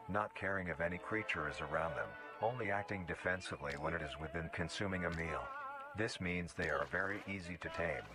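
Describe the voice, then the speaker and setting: A man reading scripted narration in the style of a nature documentary, formal, measured and matter-of-fact. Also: low-pitched. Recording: music behind the voice. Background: clearly audible music.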